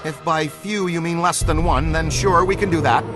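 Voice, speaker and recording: An actor playing an enthusiastic, boyish middle-aged ghost hunter in a video game, full of folksy banter. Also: male voice, on the high side, moderate pace, music in the background, lightly acted. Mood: wry, determined. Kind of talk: video game character acting.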